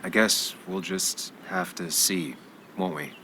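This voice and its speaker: Low-voiced, brooding game detective. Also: male voice, takes it slowly, bright, forceful tone, a little hiss or hum, heavily acted. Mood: guarded, uneasy. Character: guarded, brooding male investigative journalist. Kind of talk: naturalistic video game acting.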